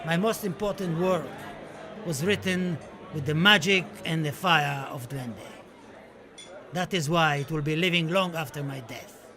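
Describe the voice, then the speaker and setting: High-voiced, impassioned Spanish poet, acted by a man. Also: a little slow, a crowd in the background, close to an everyday voice. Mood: fervent. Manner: impassioned, declamatory, dramatic. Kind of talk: theatrical radio-play monologue and poetry recital. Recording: music under the voice. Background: music.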